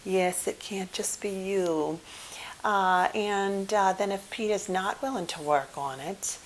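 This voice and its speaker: A woman playing a gentle, caring psychotherapist in an ASMR roleplay, speaking softly and often whispering in a hesitant, reassuring way. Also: mid-range voice, a little hiss or hum, close to an everyday voice, poor audio. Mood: soothing, encouraging. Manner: hesitant, soothing, reassuring, with many soft pauses. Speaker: ASMR performer.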